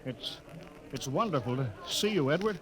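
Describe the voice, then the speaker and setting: Stern middle-aged space captain played by a male actor, fairly high voice, clipped commands, vintage radio drama. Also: animated, moderate pace, a crowd in the background, lightly acted, low-quality recording. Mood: shaken, moved. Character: stern spaceship captain, suspicious, rattled by emotion. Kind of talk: 1950s radio drama acting.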